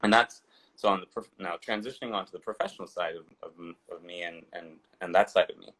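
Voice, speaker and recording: Interview guest: man, 30s, deep voice, chatty and digressive, restarts often. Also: fast, fairly bright tone, poor audio. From Dubai and India. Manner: digressive, rambling with frequent restarts. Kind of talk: casual storytelling interview.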